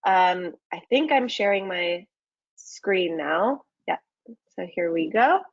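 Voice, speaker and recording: A woman's voice: lowish voice, animated, slow pace, no room sound, dull audio. A woman from the United States presenting a travel webinar in a friendly way, with many ums and uhs. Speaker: market manager presenting a webinar.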